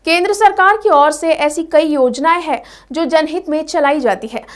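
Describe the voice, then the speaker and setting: A woman's voice: high voice, normal speed. A woman presenting scripted news as the anchor of a bulletin.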